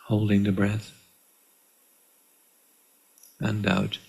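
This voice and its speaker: Low, gravelly man guiding meditation. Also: low-quality recording. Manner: calm, measured, with deliberate pauses. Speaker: meditation guide. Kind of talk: guided meditation, slow and instructional. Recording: live online session.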